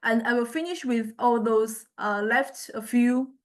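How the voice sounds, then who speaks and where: Higher-voiced woman lecturer, hesitant delivery. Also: unhurried. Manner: hesitant, thinking aloud while demonstrating. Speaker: music technology lecturer. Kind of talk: informal software demonstration lecture.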